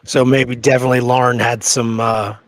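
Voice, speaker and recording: A man's voice: medium pitch, flat delivery, normal speed, dry recording. A man co-hosting an informal history discussion, circling around his points as he talks them through. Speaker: podcast co-host.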